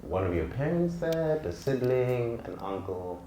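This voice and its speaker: A man hosting a podcast interview, asking relaxed, chatty questions with fillers. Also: mid-range voice, unhurried. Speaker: podcast host. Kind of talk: casual interview. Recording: echoey room with faint noise.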